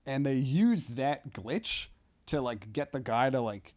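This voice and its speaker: A man chatting casually as a podcast guest, with slangy, halting, meandering explanations. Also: mid-range voice, lively intonation, darkish tone. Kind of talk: casual chat.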